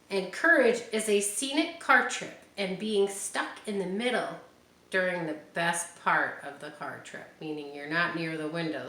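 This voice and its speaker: A woman reading a picture book aloud to children, stopping often for gentle, chatty asides. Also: mid-range voice. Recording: echoey room with faint hiss.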